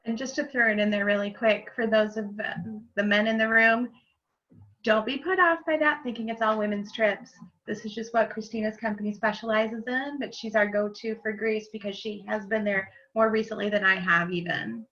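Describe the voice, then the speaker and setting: A woman hosts a virtual meetup, handing the floor to speakers in a friendly, brisk way over a video call. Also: medium pitch, fairly even intonation, fairly bright tone, lo-fi sound. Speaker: meetup group host.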